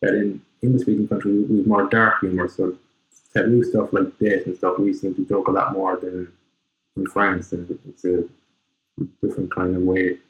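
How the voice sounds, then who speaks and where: A man hosting a casual interview, talking in a relaxed, loose way with lots of fillers. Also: low-pitched, normal speed, rough recording. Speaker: podcast host.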